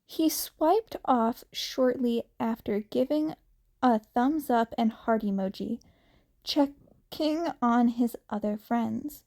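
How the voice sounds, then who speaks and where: A woman's voice: a little high, takes it slowly, mellow. A woman reading fan fiction aloud as an amateur audiobook-style narrator. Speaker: podfic reader.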